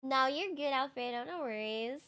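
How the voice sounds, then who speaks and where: A woman game streamer chatting casually with her viewers while she plays, slightly raspy from just waking up, easygoing and quick to laugh. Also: sits high, animated, a bit bright. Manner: chatty and easygoing, laughs often, thinks aloud. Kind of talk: casual live-stream chat, answering viewers.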